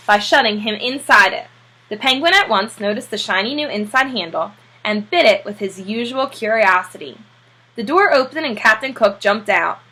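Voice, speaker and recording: A woman narrating a children's storybook aloud like a teacher, with expressive delivery. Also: mid-range voice, low-quality recording.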